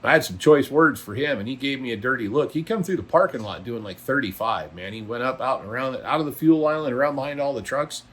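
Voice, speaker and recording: A man's voice: mid-range voice, lively intonation, fast talker, few pauses, fairly bright tone, breathy edge. A middle-aged or older man, a trucker talking casually to viewers in a blunt, folksy, opinionated and emphatic way. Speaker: trucker and video blogger. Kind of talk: casual vlog monologue.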